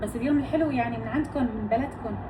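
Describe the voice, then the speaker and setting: A woman's voice: fairly high pitch, racing, noisy background. A woman from Aleppo with a Syrian accent, a mother chatting casually and explaining things at length to her viewers. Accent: Aleppo Syrian Arabic. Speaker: mother and cooking vlogger.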